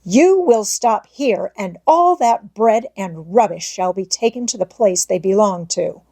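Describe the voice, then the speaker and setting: A woman's voice: mid-range voice, lively intonation, a little slow, dark tone. A woman voicing a strict, haughty lady housekeeper in a storybook, scolding with indignant, imperious delivery. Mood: stern, determined.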